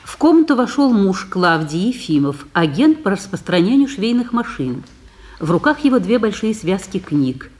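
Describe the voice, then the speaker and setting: A woman's voice: mid-range voice, sing-song, moderate pace, a little mellow. A woman narrator reading scripted stage directions for a radio broadcast of a play.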